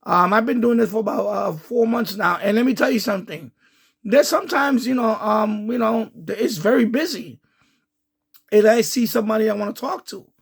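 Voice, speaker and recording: A man's voice: sits high, moderate pace, gravelly, breathy edge. A man giving casual, rambling running commentary as an amateur radio video host, repeating himself as he explains.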